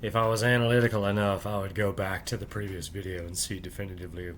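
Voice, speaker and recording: A man's voice: low-pitched, little pitch movement, fast, a bit bright, slight background noise. A middle-aged man talking alone at night, rambling and asking "right?" after nearly every thought, keeping his voice down so he won't wake his family. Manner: rambling, repeats "right?" often; keeps voice down while family sleeps. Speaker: channel host. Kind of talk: late-night monologue to camera.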